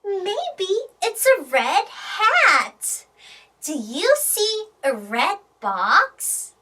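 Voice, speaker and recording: Woman, children's storytime host, very slow, bright, animated, sing-song teaching style, repeats words for learners. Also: very high voice. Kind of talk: playful children's English lesson, exaggerated storytelling.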